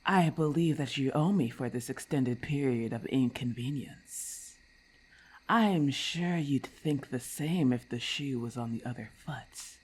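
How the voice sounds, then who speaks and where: A woman voicing a haughty, menacing female lamia in an ASMR roleplay, with a husky, breathy voice and formal, condescending diction. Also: a bass voice, measured pace, a little mellow, exaggerated voice, poor audio. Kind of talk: ASMR roleplay, breathy half-whispered acting.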